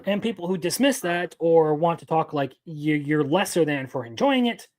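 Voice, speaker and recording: A man's voice: on the high side, lively intonation, moderate pace, darkish tone. A man in his twenties giving casual live-stream commentary as an anime news host, opinionated and rambling, answering his chat as he goes. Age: 20s.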